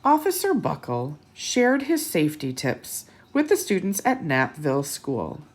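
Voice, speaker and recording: A woman reading a picture book aloud to children expressively, pausing for asides and questions to her young listeners. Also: lowish voice, wildly swooping pitch. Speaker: children's storytime host.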